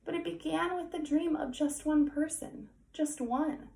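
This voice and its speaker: A woman with a high, mellow voice reads a children's picture book aloud. She takes her time and is expressive, adding chatty asides for young listeners. The room is echoey, with faint noise behind her. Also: measured pace, creaky. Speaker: volunteer storyteller reading a picture book to children.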